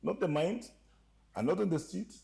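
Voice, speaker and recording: A man with a slight Ugandan accent telling stories as a podcast guest, animated and joking, often laughing. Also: a little high, normal speed, gravelly. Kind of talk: storytelling interview chat.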